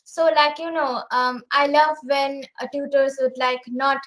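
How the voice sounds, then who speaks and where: A woman's voice: high voice, fairly even intonation, unhurried, fairly bright tone. A teenage girl from India with an Indian English accent, a student practicing conversation and thinking aloud with fillers on a video call. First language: Bengali. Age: teens.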